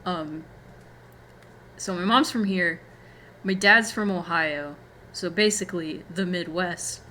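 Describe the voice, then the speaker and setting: A woman's voice: lowish voice, slow, sharp tone, slight background noise. An American woman from Florida, a young adult, chatting casually as a streamer in a rambling, giggly way. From Florida, USA. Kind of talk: casual chat.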